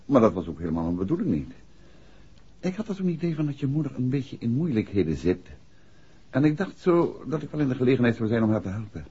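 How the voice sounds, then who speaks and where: A courteous, shrewd detective in a 1960s radio drama, with a Netherlands Dutch accent. The voice is very hoarse-grained and moves animatedly in pitch. He probes politely but directly, and the old recording is dull. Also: big pitch swings, fast talker, warm tone. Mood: probing, direct. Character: shrewd, courteous gentleman detective investigating a case.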